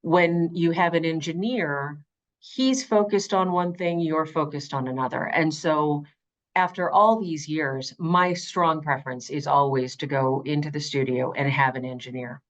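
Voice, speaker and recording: A woman's voice: sits low, measured pace, lo-fi sound. A middle-aged woman giving conversational, emphatic interview answers as an audiobook narrator.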